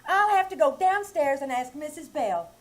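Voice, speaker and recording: A chirpy, scatterbrained young wife from an old-time radio comedy, played by a woman on stage. She talks in a high, flustered voice and keeps mangling common sayings. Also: lively intonation, normal speed, poor audio. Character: scatterbrained, sweet young wife, full of malapropisms. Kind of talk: 1940s radio comedy acting. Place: live theater stage.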